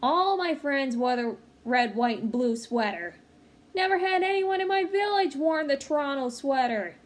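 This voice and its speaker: A woman reading a children's picture book aloud in an expressive, engaged way, with small asides to her listeners. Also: high-pitched. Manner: expressive, pausing to comment to young listeners. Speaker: read-aloud storyteller. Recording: clearly noisy home recording.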